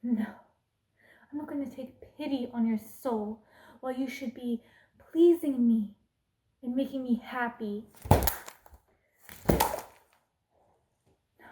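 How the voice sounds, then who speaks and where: Evil queen, acted by a woman, ASMR roleplay: scornful, commanding, slightly high yet soft-toned, drifting into whispers. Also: slow, pauses often, echoey room. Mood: scornful, threatening. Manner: imperious, scornful, menacing, breaking into whispers. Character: cruel, haughty evil queen bullying a peasant.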